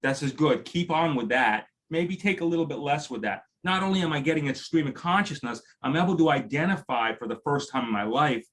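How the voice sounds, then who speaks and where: A man's voice: a little high, animated, a little fast, hardly any pauses, fairly bright tone. A man hosting a podcast, talking about his own experience in an earnest, reflective way before asking a question. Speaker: podcast host. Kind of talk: casual chat.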